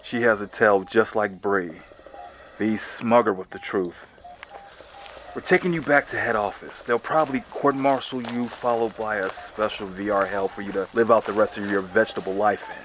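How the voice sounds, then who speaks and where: An actor playing a ruthless, pragmatic enforcer in a sci-fi horror audio drama, wry and coldly mocking. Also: male voice, fairly low pitch, steady tone, moderate pace, fairly bright tone, a little hiss or hum, close to an everyday voice, poor audio.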